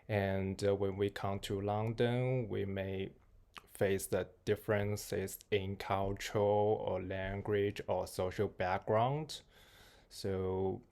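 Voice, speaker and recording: A young man moderating an informal group discussion, phrasing his questions carefully and haltingly. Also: fairly low pitch, creaky, darkish tone.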